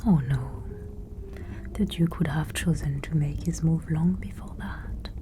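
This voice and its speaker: A woman performing a whispered ASMR roleplay as a secretive 1500s noblewoman, speaking in a hushed, measured, conspiratorial way. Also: sits low, background noise. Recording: music playing from another room. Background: period music, muffled as from another room.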